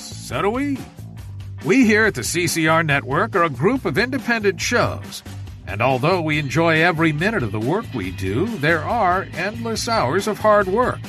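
A man reads a scripted promo in a fairly high, animated voice. Light music plays underneath his announcer delivery. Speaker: podcast network promo announcer.

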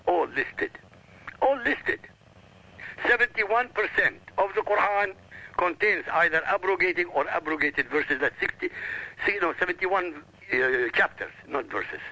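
A man's voice: sits high, expressive, measured pace, bright, forceful tone, low-quality recording. A man whose first language is Arabic, arguing insistently on a radio talk show and lecturing with emphatic repetition. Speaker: guest.

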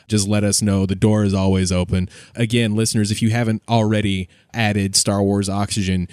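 A man's voice: on the low side, little pitch movement, normal speed, a little mellow, smooth, dry recording. A man hosting a podcast in a casual, conversational style. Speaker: podcast host.

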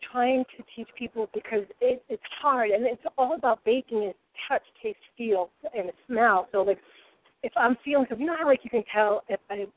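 A woman's voice: a little high, brisk, slight background noise. A woman in her forties with a Rhode Island accent, a guest cook chatting in a boisterous, rambling way. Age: 40s. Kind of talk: casual chat. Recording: tinny phone-like sound.

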